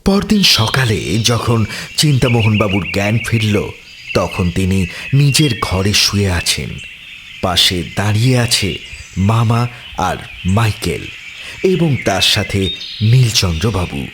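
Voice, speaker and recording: A man's voice: lowish voice, very animated, slow, long pauses, background noise. A man narrating a horror story in a dramatic, scripted storytelling style.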